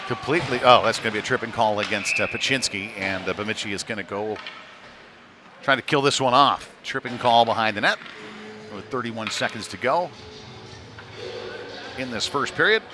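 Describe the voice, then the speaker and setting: A man's voice: medium pitch, moderate pace, pauses often, over music. A man doing live play-by-play of a youth hockey game in a loose, folksy running style. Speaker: play-by-play commentator. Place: indoor ice rink. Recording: noisy live rink stream, background din.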